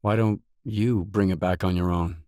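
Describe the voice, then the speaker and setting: An actor voicing a gruff, guarded stalker mercenary in a video game, blunt, terse and no-nonsense. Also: male voice, low voice, fairly even intonation, moderate pace, a little mellow, no room sound, clean studio sound, natural voice. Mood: guarded, matter-of-fact. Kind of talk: video game dialogue lines.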